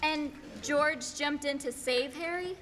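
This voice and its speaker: A woman's voice: high voice, slow pace, over a noisy crowd, heavy background noise. A woman playing a curious, sympathetic listener in a stage radio play. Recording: reverberant hall stage recording.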